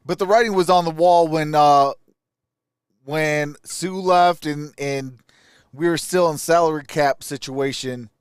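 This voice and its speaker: A man's voice: fairly high pitch, measured pace, smooth, no room sound, studio quality. A man hosting a live sports stream, giving casual, opinionated commentary and answering viewer comments.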